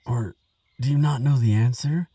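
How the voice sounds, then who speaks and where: A man playing a nervous, kindly, chatty researcher in an audio roleplay, hesitant and gentle, rambling with small stammers. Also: mid-range voice, moderate pace, slightly rough, no room sound, clean studio sound, recorded from a distance, natural voice. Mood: curious, hesitant. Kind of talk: audio roleplay monologue addressed to the listener.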